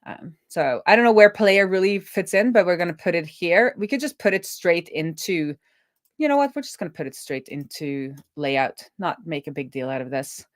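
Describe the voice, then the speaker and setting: A woman's voice: lowish voice, animated, moderate pace, no room sound, studio quality. A woman live streaming a casual coding walkthrough, talking informally and thinking aloud to herself as she works.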